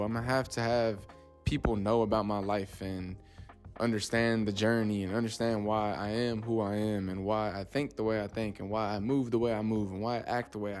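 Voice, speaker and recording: Rambling young man, low voice. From Texas, USA. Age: 20s. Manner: loose and rambling, says "you know what I'm saying" often. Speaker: podcast host. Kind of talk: casual solo podcast talk.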